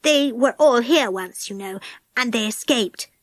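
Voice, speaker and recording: A woman voicing an eager, chatty young girl who talks in a bright, breathless way. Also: on the high side, a little slow, no echo, clean studio sound, close to an everyday voice.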